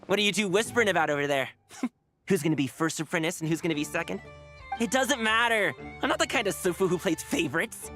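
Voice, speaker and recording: A man's voice: high-pitched, expressive, fast, sharp tone, soft background music. An actor voicing a brash, cocky young male dancer in a video game. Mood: cocky, excited. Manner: brash, exclamatory. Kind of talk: game voice acting.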